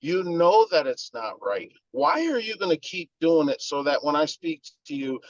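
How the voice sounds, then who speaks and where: A man's voice: a little high, very animated, moderate pace, no room sound. Male co-host, heated emphatic ranting, dry close sound, a bit lo-fi. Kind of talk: casual chat.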